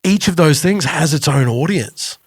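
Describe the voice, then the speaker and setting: A man's voice: fairly high pitch, lively intonation, moderate pace, breathy edge, no room sound, studio quality. A man co-hosting a podcast, telling anecdotes in a casual, informal way. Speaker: podcast co-host. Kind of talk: casual music podcast chat.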